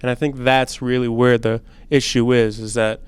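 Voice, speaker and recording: A young man in his twenties hosts a laid-back student podcast. His voice is smooth and resonant, pitched right in the middle, and he keeps things easygoing with slang and filler words. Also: moderate pace, no room sound. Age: 20s. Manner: relaxed, chatty, loose with slang and fillers. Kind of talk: casual conversation.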